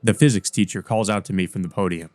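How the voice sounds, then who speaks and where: Low-voiced man reading a visual novel. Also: fast, darkish tone, faint music behind. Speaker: let's play host and reader. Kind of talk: reading a visual novel aloud with casual asides.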